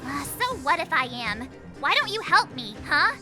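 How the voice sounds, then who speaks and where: Very high, chirpy game girl. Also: normal speed, over music. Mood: defensive. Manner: perky, expressive, chatty. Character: chirpy, playful, easily flustered young girl game character. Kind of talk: animated video game voice acting.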